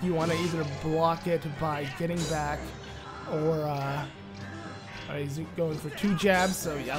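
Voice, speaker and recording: Man, hoarse, raspy, cracking voice, rambling game commentary, faint background music. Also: a little high. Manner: rambling, lots of "like" and "uh". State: hoarse from a sore throat. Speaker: Let's Play commentator. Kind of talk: casual gameplay commentary.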